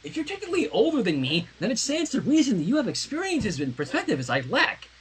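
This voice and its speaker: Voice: mid-range voice, huge pitch swings, fast talker, slightly rough, voice pushed up, heavily acted. A reader voicing an anxious, brainy, lovestruck teenage girl with an earnest, emotional and wordy way of talking in a story reading. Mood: hopeful.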